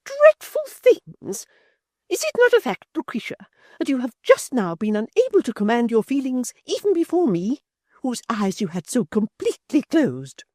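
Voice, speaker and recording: Woman voicing a high, shrill, indignant society lady for an audiobook, slow theatrical scorn. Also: wildly swooping pitch, a little mellow, no echo, studio quality. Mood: scornful. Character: haughty indignant middle-aged lady scolding her friend. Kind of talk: audiobook character voice.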